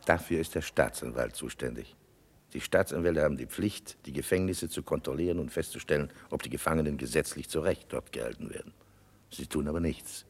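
Very deep, husky evasive governor. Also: male voice, a little fast, full character voice. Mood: dismissive, evasive. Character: affable, evasive male governor, high Tsarist official. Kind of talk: mid-century German radio play acting.

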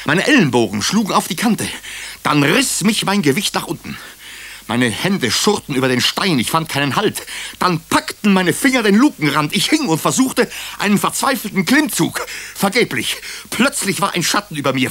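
A man playing a nervous doctor who narrates his own night-time ordeal in a suspenseful, deliberate way for a radio crime drama. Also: a little high, big pitch swings, pushed and bright, breathy edge, exaggerated voice. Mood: frantic, alarmed.

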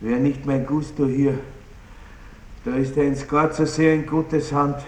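Male actor as aging country baron, pompous, lecherous, slow theatrical delivery, roomy vintage radio drama sound. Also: mid-range voice, fairly even intonation, close to an everyday voice. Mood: groaning, aggrieved. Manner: blustering, self-pitying, chuckling, drawn-out words. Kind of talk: theatrical radio play acting. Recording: roomy 1960s radio drama, faint noise.

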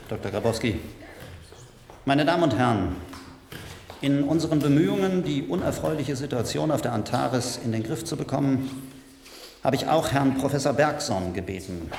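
A man's voice: mid-range voice, vocal fry, darkish tone, close to an everyday voice. A man playing an official in an echoing hall. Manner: measured, official. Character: formal, diplomatic male official chairing a briefing. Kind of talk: formal address in radio play. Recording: echoing hall, faint background noise.

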